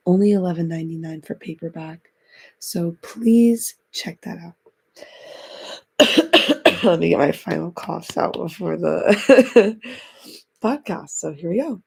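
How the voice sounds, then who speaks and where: A woman recovering from being sick, raspy as she hosts a chatty, encouraging self-help podcast. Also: fairly low pitch, a little mellow. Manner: chatty, encouraging, repeats phrases. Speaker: podcast host.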